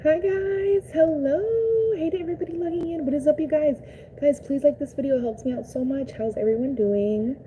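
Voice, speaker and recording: A woman's voice: sits high, fairly quick, dark tone, rough recording. A young woman from Cuba chatting casually on a live stream, bubbly and greeting her viewers. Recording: faint background noise.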